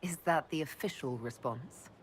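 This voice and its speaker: Woman acting resolute female leader, lowish voice, composed, direct, dryly witty, game cutscene, faint ambient noise. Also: normal speed, lightly acted. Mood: curious, wry. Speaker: leader, inquisitor.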